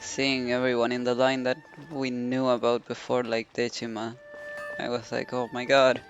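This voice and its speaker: A man's voice: medium pitch, monotone, moderate pace, dull audio. A man giving casual commentary as he streams a game. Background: game music.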